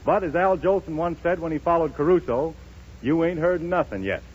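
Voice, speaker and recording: A man's voice: a little high, a little fast, slightly rough. A man hosting a 1930s radio variety show, giving showmanlike, joking introductions. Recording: noisy, muffled 1930s radio broadcast.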